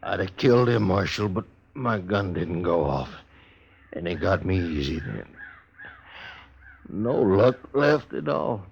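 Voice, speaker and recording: An actor playing a badly wounded, resigned gunfighter in a 1950s radio western, weak and pained, with terse frontier speech. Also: male voice, sits low, animated, takes it slowly, gravelly, a little hiss or hum, full character voice. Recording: dull old radio recording.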